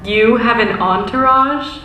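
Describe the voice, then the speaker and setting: A bold, playful flirt played by a stage actress. Her voice is bright and middle-pitched, with a slow delivery. It sounds distant and echoey in a theatre. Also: slight background noise. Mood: playful, teasing. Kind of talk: live stage play acting.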